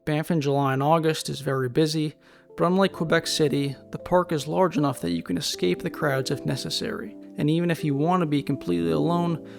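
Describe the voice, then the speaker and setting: A man giving a steady, informative countdown in scripted travel narration. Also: a little high, a little fast, vocal fry. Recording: voice-over with guitar music behind.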